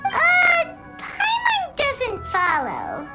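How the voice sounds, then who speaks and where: A woman voicing a tiny, excitable, childlike fairy companion with a squeaky voice in a video game. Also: drawn-out words, over loud music, heavily acted. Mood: indignant, puzzled. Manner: excitable, exclamatory. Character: tiny childlike floating girl companion, chirpy and outspoken. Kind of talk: video game dialogue acting.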